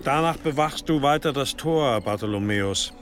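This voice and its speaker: An actor playing an authoritative, curt monastery abbot in a German radio drama. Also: male voice, medium pitch, animated, normal speed, fairly bright tone, soft background music, natural voice. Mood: commanding. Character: stern, commanding medieval monastery abbot.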